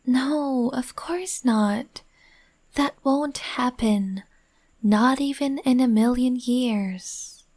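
Woman, slow breathy whisper, gentle comforting partner roleplay. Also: sits high. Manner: soft soothing whisper.

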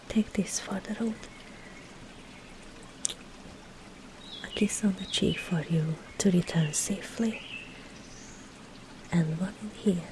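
A woman playing a teasing young medieval maid in an intimate roleplay drama, coy and playful, often dropping into breathy, hushed tones. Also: fairly low pitch, slight background noise. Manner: coy, playful, soft breathy asides, slow deliberate phrasing. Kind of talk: intimate roleplay audio drama, partly whispered.

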